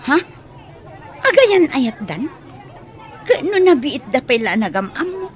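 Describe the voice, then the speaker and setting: A woman's voice: high voice, very animated, slow, some chatter behind, full character voice. A Filipino woman playing a worldly, pragmatic character in a radio drama. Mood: intrigued, teasing.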